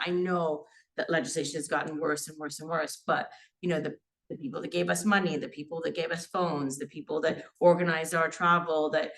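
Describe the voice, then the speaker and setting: A woman in her forties, a criminal barrister born in Sri Lanka, talks earnestly at a seminar, full of fillers and restarts. Her voice sits a little low. She is caught by a mic set some way off in the room. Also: a bit bright, recorded from a distance. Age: 40s. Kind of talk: seminar talk.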